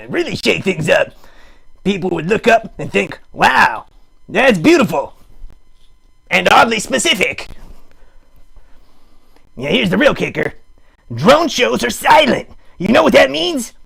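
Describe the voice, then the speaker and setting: Man acting as excitable puppet sidekick, very creaky voice, husky rasp, silly, mock-serious, exaggerated exclamations, improvised puppet comedy. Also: sits high, slow, pauses often, exaggerated voice. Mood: playful. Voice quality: raspy, gravelly. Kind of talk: improvised live puppet show monologue.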